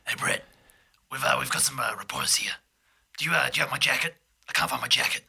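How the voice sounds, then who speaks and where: Man playing a bright, rambling police chief. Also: mid-range voice, gravelly, slightly rough, full character voice. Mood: distracted, casual. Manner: rambling, halting, distracted. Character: bumbling, breezy, forgiving male police chief.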